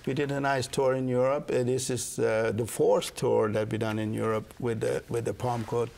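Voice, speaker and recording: An older man with a Swedish accent, a guest in a TV studio interview, talking in a halting way with fillers and restarts, on a lapel mic. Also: medium pitch, level tone, creaky, a little mellow. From Sweden. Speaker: guest, jazz pianist and record label manager. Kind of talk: casual interview chat. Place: TV studio set.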